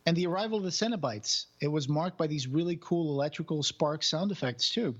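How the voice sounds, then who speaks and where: Male podcast co-host, higher-pitched, enthusiastic and emphatic, casual review chat, slightly dull recording. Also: expressive, fairly quick.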